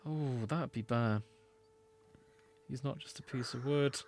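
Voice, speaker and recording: A man's voice: mid-range voice, takes it slowly, no echo. A man co-hosting a podcast in casual comedic chat, with a dry, matter-of-fact manner. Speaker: podcast co-host.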